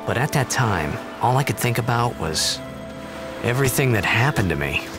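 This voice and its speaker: Man playing boyish young athlete, very expressive. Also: mid-range voice, normal speed, natural voice. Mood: reflective. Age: young adult. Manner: hesitant, boyish. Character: earnest, confused teenage male athlete, stranded far from home. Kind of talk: video game dialogue and reflective narration. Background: music.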